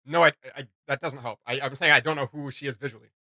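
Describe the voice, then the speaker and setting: A man hosting a reaction video with casual, halting commentary, often searching for words and repeating himself. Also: mid-range voice, steady tone, bright, forceful tone, dry recording, studio quality.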